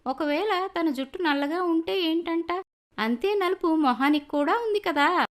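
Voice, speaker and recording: Woman voicing a squeaky, catty little girl. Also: measured pace. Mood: catty, mocking. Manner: needling, mocking. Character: jealous, catty young girl, a schoolfriend sowing spite.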